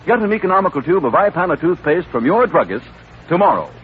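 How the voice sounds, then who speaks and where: A man's voice: on the high side, big pitch swings, fast talker. An American man announcing in polished, earnest 1930s radio advertising style. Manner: polished, earnest sales delivery. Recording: tinny, noisy 1939 radio broadcast.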